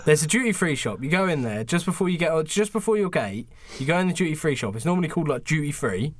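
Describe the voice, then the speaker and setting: A man's voice: on the high side, sing-song, fast, no echo. A British man co-hosting a podcast in casual banter, argumentative and insistent. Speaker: podcast co-host.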